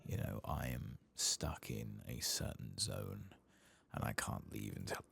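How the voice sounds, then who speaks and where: A soft-spoken man giving hushed, unhurried ASMR commentary as he plays a game, murmuring and musing to himself, at times nearly whispering. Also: a bass voice, vocal fry, husky. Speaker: gaming video creator.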